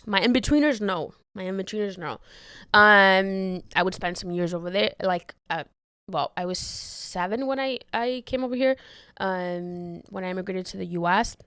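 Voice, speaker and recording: A woman's voice: mid-range voice, moderate pace, fairly bright tone, smooth, no echo, studio quality. Candid young woman rambling on her podcast. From Dominican Republic. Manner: candid, swears freely, trails off and restarts, laughs often. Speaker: podcast host. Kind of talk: rambling solo podcast chat. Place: bedroom, sitting on bed. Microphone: handheld mic.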